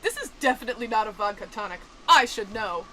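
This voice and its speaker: A woman's voice: a little high, lively intonation, fast, sharp tone, slight background noise, rough recording. A woman playing a haughty, theatrical rich widow who likes her drink in an amateur murder mystery audio drama. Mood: arch, dry. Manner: theatrical, indignant.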